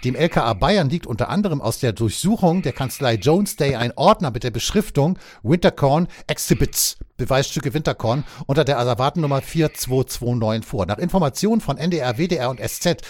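A man co-hosting a podcast, reading out a news item and then joking around in lively banter. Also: medium pitch, fairly quick, hardly any pauses. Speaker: podcast co-host.

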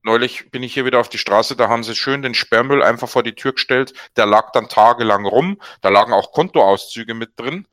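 A man's voice: medium pitch, a little fast, few pauses, fairly bright tone, no echo, clean studio sound. A man co-hosting a casual podcast chat, talking in a chatty, opinionated and wry way. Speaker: podcast co-host.

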